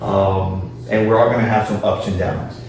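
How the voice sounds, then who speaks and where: Echoey, low-voiced man in an interview. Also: fairly even intonation, normal speed, darkish tone. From Miami, Florida. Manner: informal, peppered with Spanish phrases. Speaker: interview guest, city official and former teacher. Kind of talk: conversational interview answers. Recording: echoey room, distant mic, faint noise.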